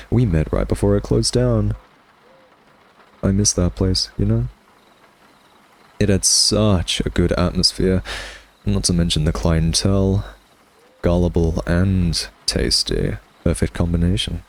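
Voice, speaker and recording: A man's voice: low-pitched, gravelly, a little mellow, exaggerated voice. A man playing a smug, hungry vampire who teases and taunts sardonically in an intimate ASMR roleplay monologue. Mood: fond, smug. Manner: sardonic, taunting, drawling, theatrical. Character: smug, teasing, hungry male vampire nemesis.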